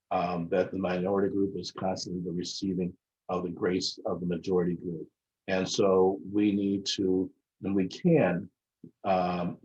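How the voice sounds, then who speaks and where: Low flat-voiced man leading a workshop. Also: highs cut off. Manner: measured, with frequent fillers and restarts. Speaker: workshop leader. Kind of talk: lecture.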